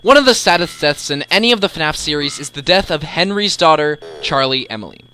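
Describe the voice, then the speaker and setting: A man's voice: on the high side, expressive, brisk, sharp tone, slight background noise. A man hosting a video essay, narrating a scripted countdown with enthusiasm and emphatic, dramatic delivery. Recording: voice-over with background music. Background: music.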